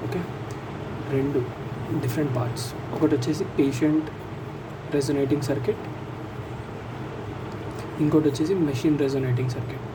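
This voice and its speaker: A man's voice: fairly high pitch, fairly even intonation. A man with a Telugu accent teaching informally, explaining step by step and asking questions that he answers himself. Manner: explanatory, asks and answers own questions, slows to dictate English sentences. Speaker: teacher explaining a circuit diagram to students. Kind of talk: informal lesson, mixing Telugu with English terms. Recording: distant mic, noisy with vehicle sounds.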